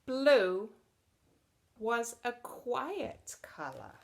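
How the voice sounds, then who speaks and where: A woman teacher reading a storybook aloud to children expressively, pausing to explain things in simple words. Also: fairly high pitch, sing-song, vocal fry.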